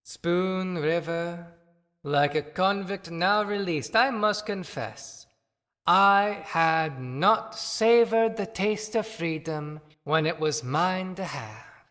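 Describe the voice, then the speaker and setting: In a long reverb, a man plays a sardonic husband freed from a bitter union. The delivery is slow and formal, with a bright edge, like a stage monologue for an audio play. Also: high voice, expressive, heavily acted. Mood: bitter, wry. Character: bitter freed husband, wry and grandly wordy.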